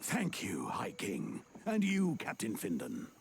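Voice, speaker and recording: A courteous pleading lord. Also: male voice, deep voice, huge pitch swings, slow pace, vocal fry, background noise, exaggerated voice. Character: pleading, dignified human lord leading refugees. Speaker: lord seeking refuge for his people. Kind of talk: video game cutscene acting.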